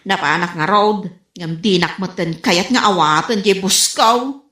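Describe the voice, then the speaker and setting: A woman acting in a comedy radio drama as an excitable, exclaiming village woman. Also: fairly low pitch, measured pace. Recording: roomy, echoing sound.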